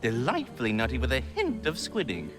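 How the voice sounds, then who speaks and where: A man's voice: medium pitch, wildly swooping pitch, a little fast, music in the background. A man voicing a fussy, polite squirrel in a fantasy video game, with florid, theatrical and courteous phrasing. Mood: fussy, genteel. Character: fussy, polite, verbose giant squirrel gourmand.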